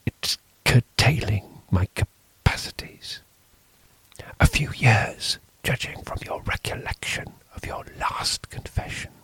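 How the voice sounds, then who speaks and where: A man voicing a nervous village priest in a hushed, breathy whisper. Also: mid-range voice, exaggerated voice, low-quality recording.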